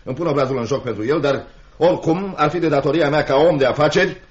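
A man's voice: fairly high pitch, close to an everyday voice. An actor playing a cold, scornful uncle and hard man of business in a Romanian radio play. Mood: cold contempt. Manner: stern, scornful, threatening. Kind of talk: Romanian radio theatre acting. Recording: dull lo-fi radio drama recording.

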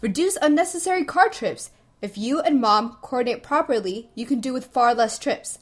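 An actress playing a level-headed young adult daughter who calmly reasons and lectures her father in an audio play. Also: sits high, extremely slow, full character voice. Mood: reasoning.

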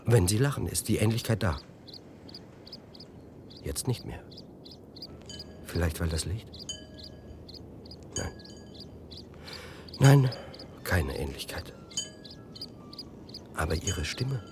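Radio drama, noisy backdrop: troubled male muser, slow, halting, with lively swings in pitch. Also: full character voice. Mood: brooding, intent. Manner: halting, searching for words. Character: troubled, brooding man musing about other realities. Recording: audible background noise.

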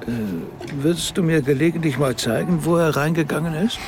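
A man playing a thoughtful, discreet rabbi in a radio drama, reserved and conversational as he quietly probes. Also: fairly high pitch, moderate pace, noisy background, close to an everyday voice. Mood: reserved, probing.

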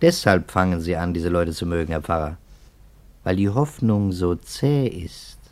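Skeptical investigator, deep voice, measured and dryly ironic, old radio drama. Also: male voice. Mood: gently ironic. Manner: measured, deliberate, pointed. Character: probing, skeptical investigator, coolly ironic and articulate. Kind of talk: 1950s German radio play acting.

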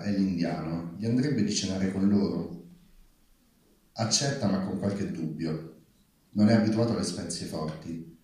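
Male reader for literary prose, low and dark in tone, in a heavily reverberant hall. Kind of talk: reading literary prose aloud. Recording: very echoey live hall.

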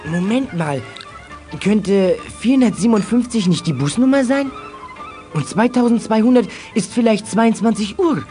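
A man's voice: high voice, animated, moderate pace, dark tone, soft background music. A young male actor playing a cheerful, clever schoolboy in a children's detective radio play. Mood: excited, puzzling out.

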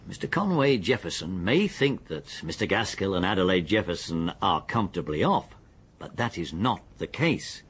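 An actor with an English accent playing a methodical, deferential police detective in a radio drama, his voice husky. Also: low-pitched, big pitch swings, fairly bright tone, exaggerated voice. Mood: confident, deferential. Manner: deliberate, factual, deferential. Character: methodical, deferential male police detective, confident and matter-of-fact. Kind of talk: British radio drama acting.

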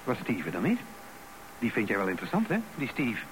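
A man's voice: lowish voice, huge pitch swings, a little fast. An actor playing a jealous, suspicious husband in his fifties in a radio drama, probing and insinuating. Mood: accusing, evasive. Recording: noisy radio broadcast recording.

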